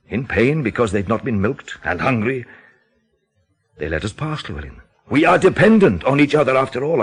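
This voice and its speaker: Grave intense radio play man, bit husky, really emphatic, pitch moves around a lot. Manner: emphatic, dramatic, deliberate phrasing with rhetorical questions. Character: grave, intense countryside man expounding a dreadful theory. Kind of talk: radio drama acting.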